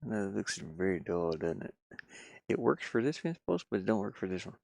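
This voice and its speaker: Male, low gravelly voice, close dry sound; casual self-directed work commentary, lightly playful. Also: lively intonation, brisk, darkish tone, no echo. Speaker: game developer streaming his pixel art work.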